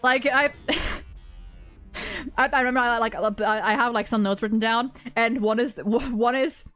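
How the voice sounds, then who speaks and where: A woman's voice: fairly high pitch, fairly even intonation, normal speed, a bit bright. A woman streamer chatting casually and informally, rambling through her opinions and swearing freely. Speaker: streamer hosting a game story ranking discussion. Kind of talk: casual chat.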